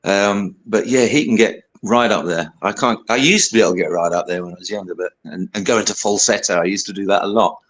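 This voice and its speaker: An older British man, a singer-songwriter guest with a low baritone voice, talking in a rambling, hesitant way full of fillers. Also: fast talker, hardly any pauses, a bit bright. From United Kingdom. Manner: rambling, hesitant, many fillers and "you know". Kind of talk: casual interview chat.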